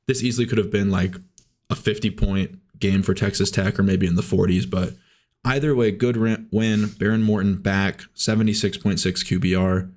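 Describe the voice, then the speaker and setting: A man with a sore throat giving a casual football recap, reading off stats with easy fillers. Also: lowish voice. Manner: relaxed, stat-heavy recap with fillers. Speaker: co-host. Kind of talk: casual sports talk.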